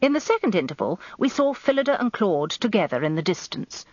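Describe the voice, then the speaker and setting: An actress playing a genteel, self-important middle-aged English wife, narrating a radio drama in an arch, confiding, expressive way. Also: female voice, mid-range voice, brisk, no echo, muffled recording. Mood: gossipy.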